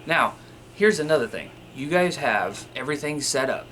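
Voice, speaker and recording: A man's voice: on the high side, lively intonation, normal speed, bright, forceful tone, slight background noise. A man talking casually and informally as a video host, showing and explaining equipment to his viewers. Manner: informal, conversational, repeatedly addressing viewers as you guys. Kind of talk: casual instructional talk, demonstrating radio gear.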